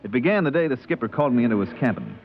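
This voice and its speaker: An actor playing a respectful, uneasy spaceship purser in a 1950s radio drama, hesitant and a little evasive. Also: male voice, medium pitch, big pitch swings, rapid-fire, lightly acted. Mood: confiding. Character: deferential, uneasy spaceship purser, narrating and answering. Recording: dull vintage radio recording.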